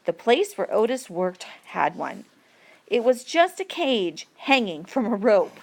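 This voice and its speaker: A woman reading an educational passage aloud as a teacher, with deliberate, measured phrasing for learners. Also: mid-range voice, sing-song, gravelly. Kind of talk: read-aloud educational narration.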